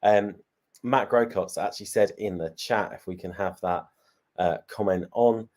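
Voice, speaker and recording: A man's voice: on the low side, fairly even intonation, moderate pace, a little mellow. Chatty man hosting a panel. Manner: chatty, repeats words, asks the panel. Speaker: panel host. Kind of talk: casual panel chat.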